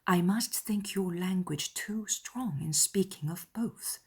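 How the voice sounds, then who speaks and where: A hushed, breathy young gentlewoman, acted by a woman from a period audiobook drama. She is tender and entreating, with a husky softness that drops close to a whisper as she earnestly asks others to think kindly. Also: lowish voice, slow pace, exaggerated voice. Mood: gentle persuading. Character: gentle, soft-spoken young woman pleading for charity toward others. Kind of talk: dramatized period novel dialogue.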